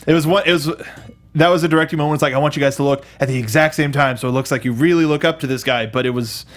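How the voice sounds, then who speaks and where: Higher-pitched man chatting about film. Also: very fast. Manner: acts out imagined lines and reactions while talking. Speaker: co-host of a film review show. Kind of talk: casual animated review chat.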